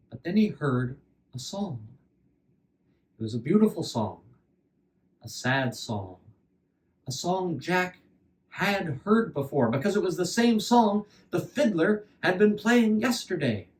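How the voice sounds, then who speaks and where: Slow, higher-pitched male storyteller. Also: lively intonation, distant mic. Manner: deliberate, repeats phrases for effect. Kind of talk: dramatic oral fairy-tale storytelling.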